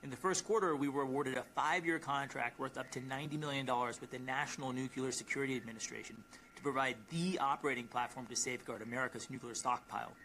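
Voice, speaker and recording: A man with a bright, forceful voice reads prepared corporate remarks in a formal, emphatic way. His pitch sits in the middle range, and there is a faint layer of noise behind him on the call. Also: fast, poor audio. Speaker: company executive.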